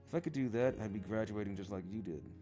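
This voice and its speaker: A man voicing a bright, worried young village girl. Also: lowish voice, fast, over music, natural voice. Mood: anxious.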